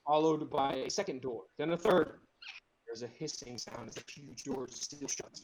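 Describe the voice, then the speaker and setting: A man's voice: medium pitch, normal speed, crowd noise, rough recording. A man narrating screenplay directions in a casual group read, dropping in amused asides.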